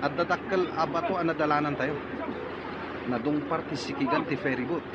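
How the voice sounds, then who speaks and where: A man's voice: on the high side, fairly quick, a loud crowd, lightly acted. A man playing a tense, impatient boatman in a radio drama, giving curt orders. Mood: worried. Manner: curt, commanding. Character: tense, impatient man running a stricken ferry. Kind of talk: Ilocano radio drama acting. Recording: muffled, noisy scene with outdoor clamour.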